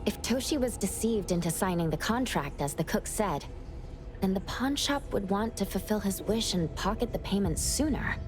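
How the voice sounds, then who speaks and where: A woman playing a calm, analytical young investigator in an anime game, measured and matter-of-fact as she reasons aloud. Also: mid-range voice, music in the background, lightly acted.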